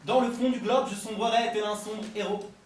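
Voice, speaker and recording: Male slam poet, very high voice, rhythmic rhymed declamation, strongly echoing live room. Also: steady tone, brisk, far from the mic. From France. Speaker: slam poet at a poetry competition. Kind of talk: slam poetry performance, first-person biographical verse. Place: live poetry event in Paris. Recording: roomy live event captured from afar, faint noise.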